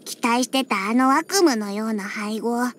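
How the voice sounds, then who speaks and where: A woman's voice: on the high side, measured pace. A woman voicing a feisty little catgirl in an anime game, with a childlike, cutesy voice and a huffy, pouty manner. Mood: grumbling. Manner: pouty, huffy, with cat-like verbal tics. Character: feisty little catgirl bartender who hates alcohol. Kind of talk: Japanese anime game character acting. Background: background music.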